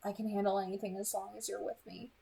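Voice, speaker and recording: A woman reading aloud the part of an earnest, gentle young male vampire in a soft, tentative way. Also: medium pitch, level tone, fast talker, gravelly, slight background noise. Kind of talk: amateur audiobook reading.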